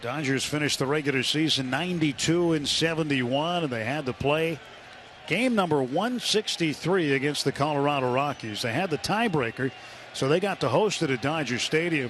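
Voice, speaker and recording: Man calling baseball over clear stadium noise. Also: fairly high pitch, fairly bright tone. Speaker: play-by-play baseball announcer. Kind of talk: live radio play-by-play commentary. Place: stadium broadcast booth. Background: stadium ambience.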